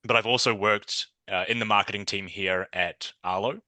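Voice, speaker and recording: Man, New Zealand accent, podcast guest, crisp bright tone, no room echo, reflective chatty delivery. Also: lowish voice, fairly even intonation, moderate pace. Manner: thoughtful, conversational, frequent fillers and restarts. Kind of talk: podcast interview.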